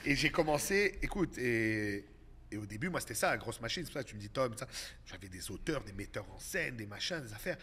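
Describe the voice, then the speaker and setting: A man's voice: medium pitch, a little fast, husky. A man in his thirties with a Québécois accent, telling stories in a casual podcast chat and dropping English words. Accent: Québécois French. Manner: animated storytelling, mixes English words. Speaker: comedian guest.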